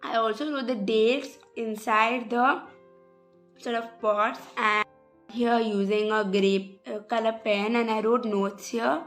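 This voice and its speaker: Young female vlogger, higher pitch, a bit rough-voiced, hesitant talk over soft music. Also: fairly even intonation, slow. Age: young adult. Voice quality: hesitant, many "sort of" fillers. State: slightly rough voice. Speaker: journal vlogger. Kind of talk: casual craft walkthrough voice-over.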